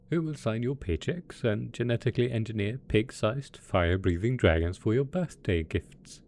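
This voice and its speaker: A man's voice: on the low side, a little mellow. A man voicing a melodramatic, possessive mad scientist supervillain boss in a roleplay audio drama, smug and coaxing in manner. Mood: amused, teasing. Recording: faint background noise.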